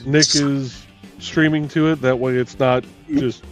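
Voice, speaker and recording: A man co-hosting a live stream, calmly explaining a technical problem in casual chat. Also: medium pitch, a little slow, muffled recording, faint music behind. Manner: relaxed, explains technical issues. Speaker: co-host handling stream tech.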